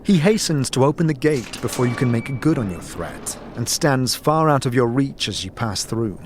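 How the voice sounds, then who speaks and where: A man narrating a dark fantasy audio drama in the second person, with measured, solemn, dramatic storytelling. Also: mid-range voice, animated, noisy background. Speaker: narrator and game master. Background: music.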